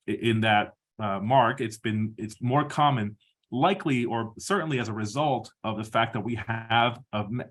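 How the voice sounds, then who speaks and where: Man giving a measured medical lecture. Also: lowish voice, a bit bright. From Peru. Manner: measured, explanatory, with frequent restarts and "uh" fillers. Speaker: surgeon and lecturer.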